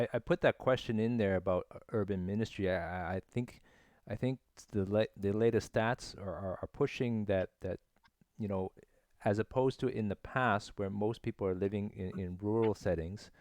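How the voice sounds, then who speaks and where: A man's voice: on the low side, darkish tone, no room sound, studio quality. A man interviewing guests, who stammers and repeats words as he forms his questions.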